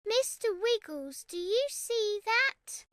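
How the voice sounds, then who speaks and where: A very high-pitched, bright little girl for a bedtime story. She is sweet and a bit timid, and she speaks slowly. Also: very animated, full character voice. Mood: curious, unsure. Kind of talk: children's bedtime story acting.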